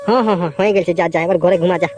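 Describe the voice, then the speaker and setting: A woman's voice: fairly low pitch, steady tone, very fast, darkish tone, faint music behind, lightly acted. A woman voicing a grumbling, rambling village priest in a comic cartoon, repeating phrases in a Purulia Bengali accent. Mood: rambling, wheedling. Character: grumbling, hungry village priest, henpecked husband.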